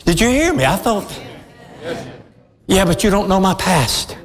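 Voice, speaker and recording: High-voiced impassioned preaching man. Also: very animated, a little slow. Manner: impassioned, shouting preaching with laughs. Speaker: pastor. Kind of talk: sermon. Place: church sanctuary. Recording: long hall reverb.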